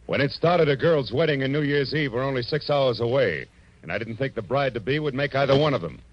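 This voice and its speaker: An American actor playing a world-weary hard-boiled private detective, dry and wisecracking, in a 1940s radio drama. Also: male voice, medium pitch, expressive, a little fast, no room sound, natural voice. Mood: dramatic teaser. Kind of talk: 1940s radio drama acting, noir first-person narration. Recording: muffled old radio recording with music.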